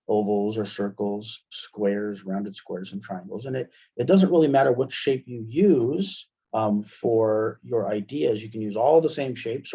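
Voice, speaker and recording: A middle-aged man with an American accent walks through a software tutorial in an informal, halting manner. His voice is soft-edged and relaxed, and the sound is a little dull, as on a video call. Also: fairly low pitch. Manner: informal, halting, checks in with "right?". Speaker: webinar presenter and instructor. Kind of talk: software tutorial, explaining step by step. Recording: muffled, dull computer-call audio.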